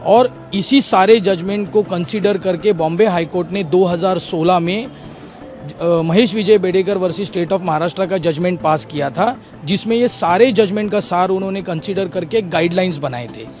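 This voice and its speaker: A man's voice: high voice, normal speed, a little mellow, faint music behind. A man, a lawyer, answering a news interviewer, laying out legal points step by step with English legal phrases mixed in. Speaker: lawyer for the petitioners.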